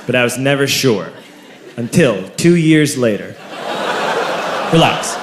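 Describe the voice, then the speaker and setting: A man's voice: mid-range voice, animated, slow pace. A man telling a story as a stand-up comedian, with an emphatic, theatrical delivery, in front of a live audience. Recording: roomy live stage recording with loud audience. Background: laughing crowd, background noise.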